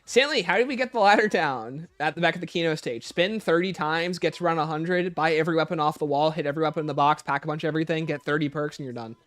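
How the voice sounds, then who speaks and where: Bright, higher-voiced man livestreaming. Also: quick pace, hardly any pauses. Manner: informal, playful banter with viewers. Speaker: livestream host. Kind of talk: casual livestream chat, answering viewer questions.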